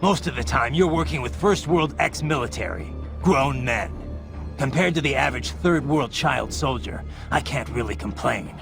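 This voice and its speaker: Game cutscene: tough, terse cyborg soldier, gravel-throated, defiant, music behind. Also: male voice, mid-range voice, lively intonation, moderate pace. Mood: grim, defiant. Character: brooding male cyborg soldier, tough, sardonic, haunted by violent past. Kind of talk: video game cutscene acting.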